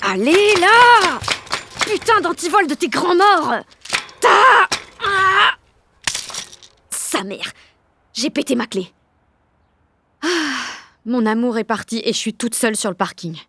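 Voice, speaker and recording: High-voiced brash teenage girl. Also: sing-song, slow pace, long pauses, noisy background, full character voice. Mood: frustrated, dramatic. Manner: slangy, foul-mouthed, self-mocking. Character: brash sixteen-year-old girl, lovestruck, sarcastic, dramatic. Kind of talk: first-person audio fiction narration with acted dialogue.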